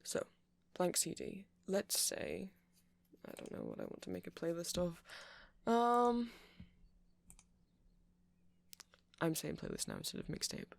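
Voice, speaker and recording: A man playing a nerdy, easily flustered vampire who rambles hesitantly and sweetly in a soft-spoken ASMR roleplay. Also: sits high, wildly swooping pitch, moderate pace, gravelly, exaggerated voice. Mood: shy, rambling. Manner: hesitant, rambling, self-deprecating, breaks into soft laughs.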